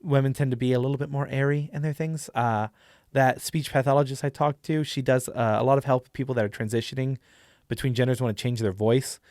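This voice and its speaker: A man's voice: mid-range voice, steady tone, brisk, a little mellow, no echo, clean studio sound. A man giving a casual, conversational tutorial as a host who runs tabletop games. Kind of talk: casual instructional talk.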